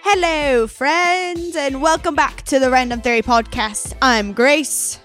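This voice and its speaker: A woman co-hosting a podcast in casual chat, chatty and quick to laugh, stretching out her phrases. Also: sits high, fairly bright tone, faint music behind. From North Carolina. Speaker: podcast co-host.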